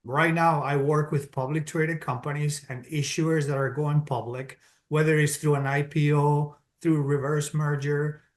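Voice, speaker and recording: A middle-aged man with a Colombian accent and a fairly high voice, talking as a podcast guest. He is steady and businesslike, and he walks through his points one by one. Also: measured pace, hardly any pauses. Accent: Colombian Spanish. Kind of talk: interview answers, explaining step by step.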